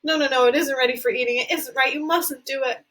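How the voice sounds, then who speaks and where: An eccentric male candy maker from a storybook reading, fussing and sighing over his failed inventions, as a woman reads him. He speaks quickly and fretfully, then turns apologetic. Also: high voice, steady tone, fairly bright tone, pitched above the performer's own voice. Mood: fussy.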